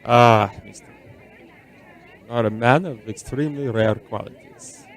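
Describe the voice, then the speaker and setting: An actor in a radio drama playing a weary, secretive, centuries-old immortal man who speaks in formal, measured, eloquent phrases. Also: medium pitch, some chatter behind, close to an everyday voice, rough recording. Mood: courteous, guarded. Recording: orchestral film music underneath.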